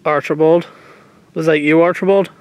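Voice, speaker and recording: Hushed nervous man in night woods. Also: a little high, fairly even intonation, normal speed, clean tone, no echo. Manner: hushed, nervous, questioning the dark. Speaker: paranormal vlogger. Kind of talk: handheld ghost-hunting vlog. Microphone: handheld camera.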